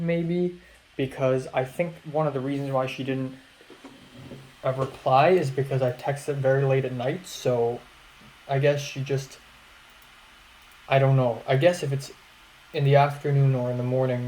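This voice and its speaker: A man's voice: mid-range voice, flat delivery, moderate pace, a little mellow, slight background noise, poor audio. A young man rambling through a late-night video diary about his college classes, hesitant and full of fillers and restarts. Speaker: college student vlogger.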